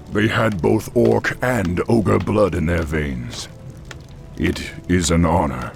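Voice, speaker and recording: Proud orc war chief, dignified, deep-voiced, commanding, video game. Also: male voice, vocal fry, heavily acted. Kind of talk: video game cutscene acting.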